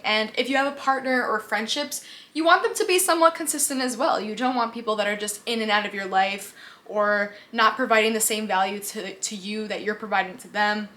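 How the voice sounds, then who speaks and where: A young woman from the United States answers viewer questions straight into her camera. Her voice is bright and sits a little high, and her delivery is chatty and upbeat, with easy laughs and plenty of "like". It sounds like relaxed vlog talk. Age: 20s. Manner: chatty and upbeat, laughs easily, says "like" often. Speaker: vlogger. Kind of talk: casual Q&A vlog. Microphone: camera mic, talking to camera.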